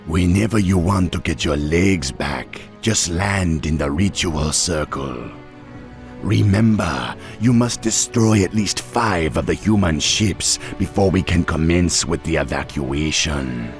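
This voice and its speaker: Troll chieftain, low creaky voice, Jamaican-style Caribbean accent, proud, easygoing, fantasy game. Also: male voice, unhurried, soft background music. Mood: wry. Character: wily male troll tribe leader, easygoing and proud. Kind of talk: fantasy video game voice acting.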